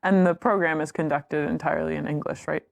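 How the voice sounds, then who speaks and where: A woman's voice: low-pitched, steady tone, a little fast, gravelly. A young adult woman, a student, hosting an information session and prompting her guests with friendly, encouraging remarks. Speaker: host.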